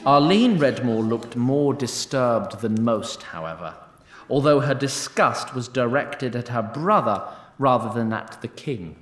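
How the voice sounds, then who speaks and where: A man narrates fantasy fiction in an echoey space. His medium-pitched voice swings expressively, with a theatrical, wry, literary storytelling manner. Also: lively intonation, a little slow, a bit bright.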